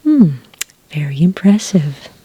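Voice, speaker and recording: A woman acting as a friendly office clerk in an ASMR roleplay, very breathy and half-whispered. She takes her time, speaking slowly and gently with patient, official politeness. The sound is close and dry. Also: on the low side, sing-song, dry recording, heavily acted. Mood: calm, polite. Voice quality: breathy, soft, near-whisper. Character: friendly, patient female licensing clerk for werewolf hunters. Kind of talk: soft-spoken ASMR roleplay. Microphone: close, dry microphone.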